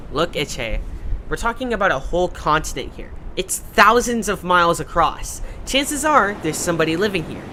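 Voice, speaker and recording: A haughty young would-be leader played by a man in an audio drama, with a bright, forceful edge. He is impatient and scornful, and some noise sits behind the voice. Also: sits high, very animated, a little slow, exaggerated voice, poor audio. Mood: condescending. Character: bossy, scornful young creature, self-appointed leader. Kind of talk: amateur audio drama acting.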